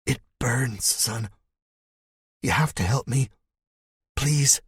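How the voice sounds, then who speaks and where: A man voicing a dying older man who has been bitten, talking frantically with his voice cracking high. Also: slow, fairly bright tone, slightly rough, dry recording, studio quality, exaggerated voice. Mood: pleading.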